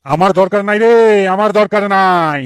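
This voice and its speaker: A man voicing a hot-tempered old village uncle in a cartoon, gruff and scolding. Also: high voice, moderate pace, fairly bright tone, no echo. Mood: scornful. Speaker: cartoon character.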